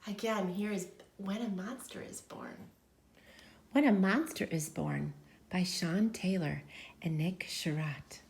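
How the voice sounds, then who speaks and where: A woman reading a picture book aloud like a teacher to children, theatrical and emphatic, with dramatic pauses and sudden swells. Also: lowish voice, creaky, a little mellow.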